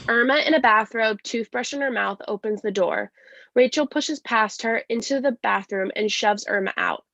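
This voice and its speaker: A woman's voice: on the high side, moderate pace, a bit bright, dry recording, muffled recording. A woman giving scripted narration, reading stage directions at a play reading.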